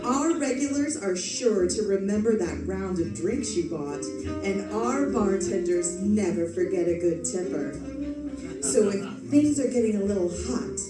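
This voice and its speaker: A woman playing a sultry, teasing cocktail lounge hostess in a theatrical radio ad, flirtatious and drawn-out. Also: mid-range voice, lively intonation, reverberant, recorded from a distance, music in the background, lightly acted.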